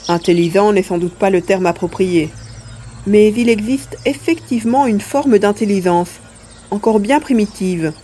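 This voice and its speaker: A mellow, measured little furry mercenary played by a woman, from a science fiction audio story. The voice sits at a medium pitch, with faint noise behind it. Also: natural voice. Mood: concentrated. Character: small furry plump male creature, telepathic mercenary.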